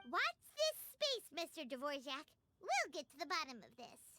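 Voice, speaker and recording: Game fairy sidekick: childlike, chirpy, outspoken, very high and hugely animated. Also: female voice, unhurried, over loud music, heavily acted. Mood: excited. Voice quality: squeaky, childlike. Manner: chirpy, exclamatory. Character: tiny cheerful childlike fairy companion, excitable and outspoken. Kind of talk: video game character acting.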